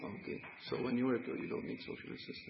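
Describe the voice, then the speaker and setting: A man's voice: fast, a crowd in the background. A man moderating a discussion, asking hesitant questions padded with fillers. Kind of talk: unscripted discussion. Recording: dull recording with clear background noise.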